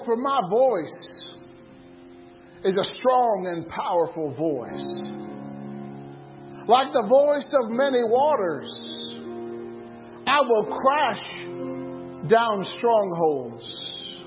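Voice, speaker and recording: A woman leading a church service, speaking in fervent, declamatory phrases of praise and exhortation to the congregation. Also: a little high. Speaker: church worship and service leader. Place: church hall during a live service. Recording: muffled live church sound with loud music. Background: loud worship music.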